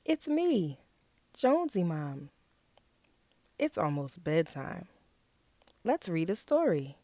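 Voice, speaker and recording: Female kids' storytime host, mellow and lively in pitch, slightly low. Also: slow, dry recording. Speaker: children's channel host. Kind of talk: storytime introduction for children.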